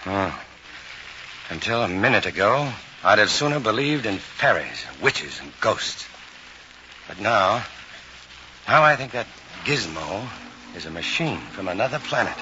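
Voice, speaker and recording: An actor playing a grave, urgent scientist with deliberate, dramatic delivery in a 1950s-style radio drama, on an old vinyl transfer. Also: male voice, lowish voice, very animated, sharp tone, exaggerated voice. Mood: awed. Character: grave, urgent male scientist facing alien invasion. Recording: dull, slightly noisy vinyl radio transfer.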